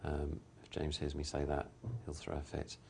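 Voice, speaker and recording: A man's voice: very deep voice, completely flat delivery, normal speed, gravelly, a little mellow, a little hiss or hum. A man hosting a live poker show, commenting on hands in a chatty, hesitant way with lots of fillers. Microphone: studio mic.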